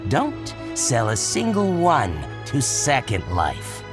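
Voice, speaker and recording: A man's voice: medium pitch, huge pitch swings, slow. An actor playing a shrewd adult male boutique merchant in a video game, who haggles and schemes in a talkative way. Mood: scheming. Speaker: merchant character. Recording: game music bed under the voice.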